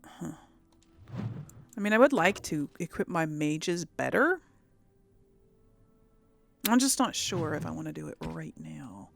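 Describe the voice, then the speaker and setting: A woman's voice: low-pitched, sing-song, unhurried, gravelly, pauses often. A woman giving casual let's play commentary, musing aloud and rambling to her viewers. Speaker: gaming video host.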